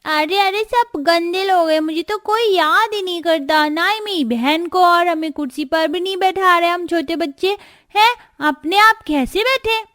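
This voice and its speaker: A woman's voice: extremely high pitch, a little slow, fairly bright tone, no room sound, studio quality. A woman playing a whiny little child in a cartoon, pleading for attention. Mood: sulky.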